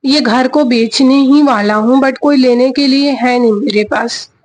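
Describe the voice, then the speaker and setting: Picture a desperate man selling his house, pleading and near tears, his voice sitting high and the words coming slowly, as a woman puts him on in her own voice. He sounds worn down and eager to get rid of the place. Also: darkish tone.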